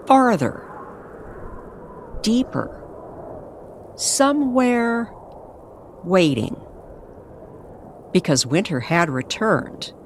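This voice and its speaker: A woman narrating an eerie story in old-time radio style, with dramatic, weighted pauses. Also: medium pitch, a little hiss or hum.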